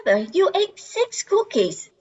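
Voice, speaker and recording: A woman's voice: extremely high pitch, very animated, unhurried, darkish tone, heavily acted. A woman playing a weary, whiny eight-year-old little sister in an amateur radio play. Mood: indignant. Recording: dull, muffled lo-fi sound.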